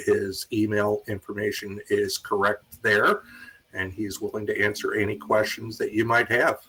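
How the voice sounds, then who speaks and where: A man's voice: on the low side, bright, forceful tone, husky, rough recording. An American man hosting an informal online question-and-answer session as moderator. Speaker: host and moderator of an online talk.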